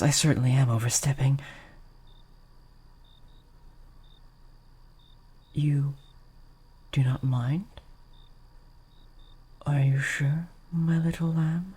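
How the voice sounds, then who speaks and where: A woman voicing a gentle but possessive vampire lady in an intimate roleplay, soft-spoken and often whispering, with formal, old-fashioned, coaxing phrasing. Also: very deep voice, breathy edge. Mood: tender, hesitant. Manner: formal, archaic, slow, coaxing.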